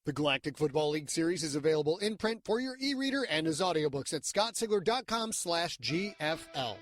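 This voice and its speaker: A man's voice: fairly high pitch, animated, a little fast. A man delivering a scripted advertisement as an announcer. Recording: music bed behind voice. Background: music.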